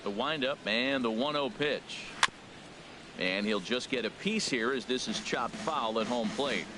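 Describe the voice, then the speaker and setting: A man playing a play-by-play baseball announcer in a video game, with a measured, polished delivery. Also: medium pitch, lightly acted. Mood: steady, attentive. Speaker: play-by-play sports commentator. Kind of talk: scripted baseball commentary. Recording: loud stadium crowd noise over voice. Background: baseball stadium crowd in a video game.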